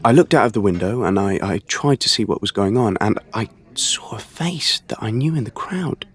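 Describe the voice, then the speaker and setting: A man's voice: on the low side, animated, moderate pace, husky. An actor playing a nervous, hesitant young British man in an audio play, stumbling over his words. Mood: startled, intent. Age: young adult. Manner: hesitant, stammering, self-interrupting. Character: awkward, nervous young man, eager and self-reproaching. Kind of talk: dramatic monologue and dialogue, audio play.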